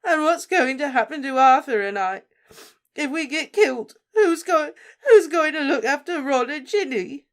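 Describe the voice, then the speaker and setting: A woman voicing a distraught middle-aged motherly witch who sobs and stammers in a high, breaking voice. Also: animated, moderate pace. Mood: sobbing. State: crying.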